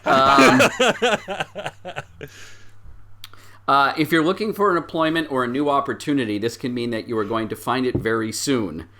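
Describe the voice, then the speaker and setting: Bright-voiced man running a game. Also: mid-range voice, animated, a little slow, gravelly, pauses often. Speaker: game master. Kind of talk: improvised tabletop game narration.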